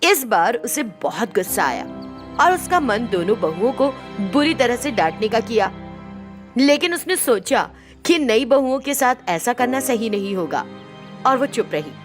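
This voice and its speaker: A woman's voice: a little high, sing-song, unhurried, soft background music. A woman narrating a scripted moral story as a storyteller.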